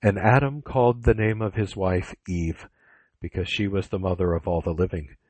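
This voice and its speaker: Low voiced guy narrating bible, breathy, solemn and measured, dry recording. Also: steady tone, a little mellow, dry recording, rough recording. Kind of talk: dramatised scripture narration.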